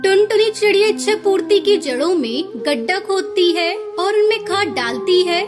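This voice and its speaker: Very high-voiced woman narrating a children's story. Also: expressive, moderate pace, music in the background.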